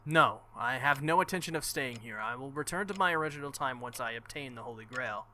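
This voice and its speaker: A man voicing a formal, resolute young female warrior king from a visual novel, speaking in measured sentences. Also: mid-range voice, animated, pushed and bright, slight background noise.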